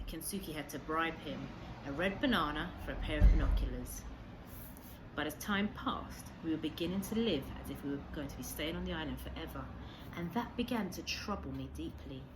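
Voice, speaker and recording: A woman reading a storybook aloud as a teacher would, expressively, with measured dramatic emphasis. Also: low voice, background noise.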